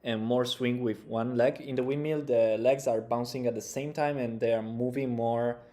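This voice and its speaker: Mellow-voiced young man musing. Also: medium pitch, normal speed. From Italy. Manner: relaxed, thinking aloud with loose grammar. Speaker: breaking coach reacting to tutorials. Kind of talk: casual reaction commentary. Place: small room indoors.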